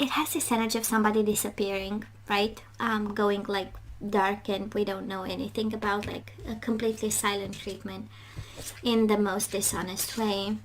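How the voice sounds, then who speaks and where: A woman hosts an informal tarot reading in a fairly high voice that stays very even in pitch. She speaks haltingly and reflectively, letting phrases trail off as she interprets. There is faint noise behind her. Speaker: tarot reader and host.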